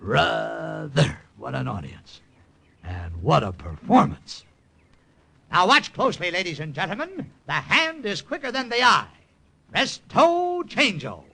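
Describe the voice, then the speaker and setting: Brash, high-pitched wisecracking showman agent. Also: huge pitch swings, measured pace, vocal fry, bright, forceful tone, husky, full character voice. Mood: showy. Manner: showy carnival-barker patter, theatrical. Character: brash wisecracking American OSS agent and stage magician. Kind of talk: 1950s radio drama acting. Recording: old radio recording with faint music.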